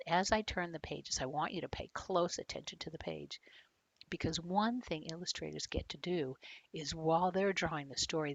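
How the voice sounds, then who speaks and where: An older woman reading a picture book aloud to children, talking to them directly with questions and expressive storytelling. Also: low-pitched, no echo. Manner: addresses young listeners directly with questions.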